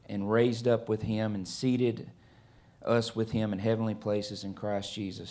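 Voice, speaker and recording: A middle-aged man from Alabama with a Southern US accent, preaching a sermon in a conversational, halting way with many fillers. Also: fairly low pitch, a little mellow. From Alabama, USA. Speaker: guest preacher. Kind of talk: sermon with scripture reading.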